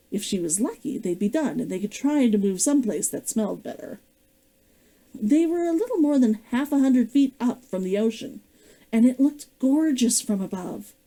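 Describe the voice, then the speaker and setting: A woman's voice: a little high, mellow. Giggly woman reading her own novel. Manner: giggly and chatty between readings. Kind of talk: read-along storytelling.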